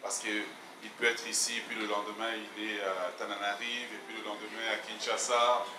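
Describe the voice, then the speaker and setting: A man in his forties with a mixed Canadian and Belgian French accent, a guest pastor opening his sermon in a measured, hesitant way on a handheld mic. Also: fairly low pitch, little pitch movement. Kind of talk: sermon introduction. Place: large church hall, indoors. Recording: echoey church hall, noisy.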